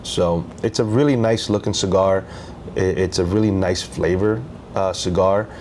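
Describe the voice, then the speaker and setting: A man co-hosting a casual podcast, talking in a relaxed, easygoing way. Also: low voice, normal speed, slight background noise. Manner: relaxed, easygoing, many fillers. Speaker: podcast co-host. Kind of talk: casual chat.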